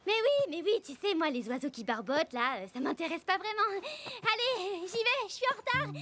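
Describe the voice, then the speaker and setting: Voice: very high-pitched, sing-song, moderate pace, pushed and bright, a little hiss or hum, exaggerated voice. A performer voicing a restless little girl in a children's cartoon, impulsive, hesitant and full of excuses. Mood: flustered. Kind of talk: French children's cartoon acting.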